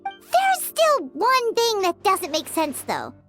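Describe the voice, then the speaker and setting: Tiny blunt game sidekick: extremely high, childlike, animated, questioning. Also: female voice, takes it slowly, faint music behind, full character voice. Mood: puzzled. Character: small childlike high-voiced companion, curious and blunt. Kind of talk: video game voice acting.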